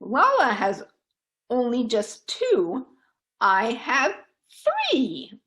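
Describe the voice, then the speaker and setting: A woman's voice: fairly high pitch, huge pitch swings, drawn-out words, fairly bright tone, exaggerated voice. A woman voicing a vain, whiny, jealous young female bird from a children's storybook, in a theatrical way. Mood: boastful. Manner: theatrical, pleading and boastful.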